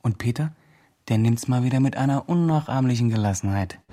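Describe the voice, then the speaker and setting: A man's voice: medium pitch, a little fast, dark tone, a little hiss or hum, natural voice. An actor playing an earnest, upbeat young fundraising campaigner who narrates his progress in an eager, self-important way. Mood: hopeful, rallying. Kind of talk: scripted campaign diary narration.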